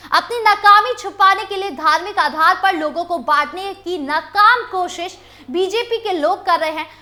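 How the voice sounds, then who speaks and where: Very high-pitched female news anchor. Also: pushed and bright. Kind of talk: scripted news reading.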